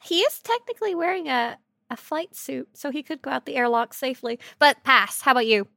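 A woman co-hosting a podcast with casual, silly banter, talking in an animated, jokey and exclamatory way. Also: sits high, brisk, gravelly, dry recording, clean studio sound. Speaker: podcast co-host. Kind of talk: casual, silly banter about an online game.

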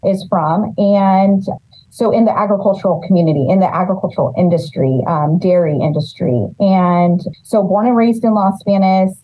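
A woman's voice: mid-range voice, fairly even intonation, normal speed. A woman from California, a city development director, earnestly explaining her work as an interview guest. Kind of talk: interview answers. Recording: dull lo-fi audio.

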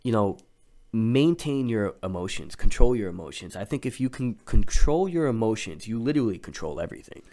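A man's voice: on the low side, very animated, fairly quick, dark tone. Nasal young man, motivational podcast host. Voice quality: a little nasal. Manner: motivational, emphatic, repeats key words. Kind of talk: casual podcast chat.

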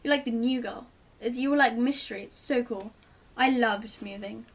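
A woman's voice: fairly high pitch, normal speed, slightly rough, far from the mic. A teenage girl raised in Italy, chatting casually as a guest and giving rambling, giggly advice. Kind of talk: casual chat.

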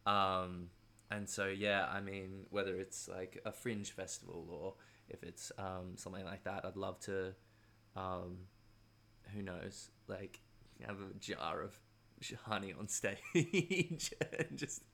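A man talking casually as an interview guest, in a halting, rambling way with a self-amused air. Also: low voice, creaky, fairly bright tone, slight background noise.

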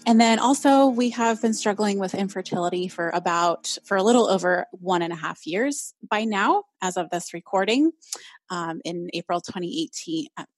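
A woman's voice: mid-range voice, moderate pace, no room sound, faint music behind. A young woman hosting a casual solo podcast, chatty and upbeat, with a slightly nasal voice and frequent "um"s. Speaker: podcast host.

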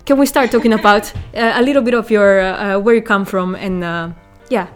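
A woman's voice: medium pitch, fairly even intonation, moderate pace, faint music behind. A woman hosting an interview podcast, reading a short introduction and then asking questions with fillers. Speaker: podcast host. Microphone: video call.

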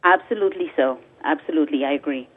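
A woman's voice: sits low, fast, slightly rough. A woman from the Bahamas, a guest author on a talk radio interview, speaking earnestly and repeating words for emphasis like a preacher. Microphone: phone call. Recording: tinny phone line.

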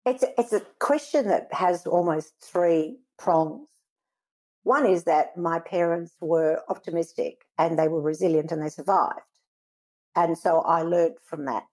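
A woman from Israel, a guest in an interview, giving earnest, measured answers and often pausing to search for words. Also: lowish voice, darkish tone, poor audio.

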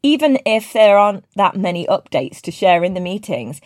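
A woman hosts a casual video-call interview, talking in a loose, chatty way full of fillers and restarts. Her voice sits at an average pitch for a woman. The sound is dry and close, with no room echo. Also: big pitch swings, moderate pace, darkish tone. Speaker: interviewer and teaching team lead.

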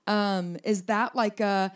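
A young woman with a slightly raspy low tone, co-hosting a podcast in a rambling, joking chat. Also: steady tone, takes it slowly. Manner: rambling, laughing, swears casually. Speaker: podcast co-host. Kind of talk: casual chat.

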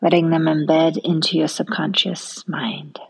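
A woman leading a guided relaxation meditation in a soft, soothing manner, often trailing off into a near-whisper. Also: deep voice, level tone, unhurried, gravelly, breathy edge.

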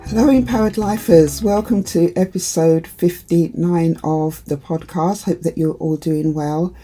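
A woman hosting her own podcast, slightly croaky from hay fever, talking in an encouraging, chatty way to her listeners. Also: lowish voice, steady tone, a little slow, dark tone, soft background music, low-quality recording. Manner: encouraging, chatty, many "you know" fillers. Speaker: podcast host and empowerment coach. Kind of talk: solo podcast talk to listeners.

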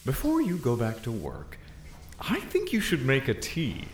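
A man voicing a gentle, earnest alien warden in a fiction podcast drama, calm and coaxing. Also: mid-range voice, unhurried, roomy sound, background noise. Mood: gently coaxing.

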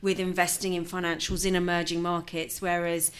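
A woman's voice: fairly low pitch, little pitch movement, a little fast. A woman in a casual business conversation about fund investments, chatty and affirming, often prompting with questions.

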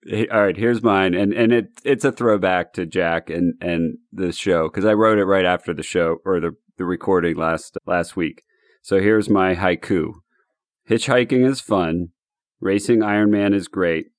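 A man's voice: deep voice, moderate pace, no echo, clean studio sound. A man hosting a casual podcast, joking and laughing with his co-hosts and guest. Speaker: podcast host. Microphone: remote recording setup.

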